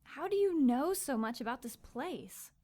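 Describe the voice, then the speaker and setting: A plucky young woman in an audio drama. Her voice sits fairly high, and she is questioning and doubtful, yet game. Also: expressive, unhurried, dark tone. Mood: puzzled, doubtful.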